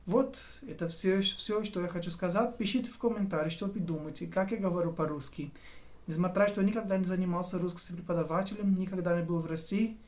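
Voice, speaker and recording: A young man from Brazil with a Brazilian Portuguese accent, a Portuguese native speaker talking casually to camera in halting speech, often stopping to search for words and correct himself. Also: sits high, fairly even intonation, darkish tone. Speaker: language learner and tutor.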